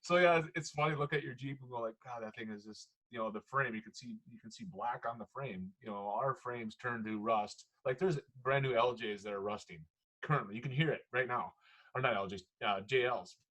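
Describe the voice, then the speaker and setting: A podcast host, chatting casually, laughing often and drifting off topic. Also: male voice, medium pitch, a little fast, fairly bright tone, poor audio. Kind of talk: casual chat.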